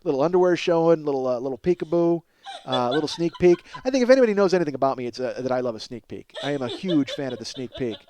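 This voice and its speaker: A man's voice: on the high side, expressive, fast talker, a little mellow, slight background noise. A middle-aged man hosting a comedy podcast in a casual, rambling monologue, swearing freely and poking fun at himself. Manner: profane, self-deprecating, exclamatory.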